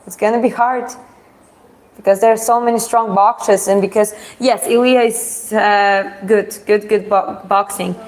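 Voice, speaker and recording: A woman's voice: mid-range voice, fairly even intonation, measured pace. Woman chatting casually. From Georgia. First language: Russian. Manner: hesitant phrasing, reads out viewer questions then answers frankly. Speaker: live stream host. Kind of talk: casual live Q&A chat.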